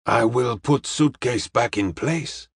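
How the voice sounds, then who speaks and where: Man as a detective, serene, courteous, deliberate phrasing, audiobook character, medium pitch. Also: breathy edge, no echo, studio quality. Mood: polite, firm. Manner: slow, deliberate, formally polite, drops articles.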